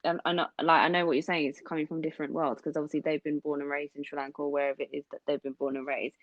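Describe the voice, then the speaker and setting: A woman's voice: low voice, little pitch movement, very fast, no echo. A young woman from London co-hosting a podcast, chatting casually and agreeing often. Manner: agreeable, frequent "mm-hmm" backchannels. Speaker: podcast co-host. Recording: dull dry lo-fi sound.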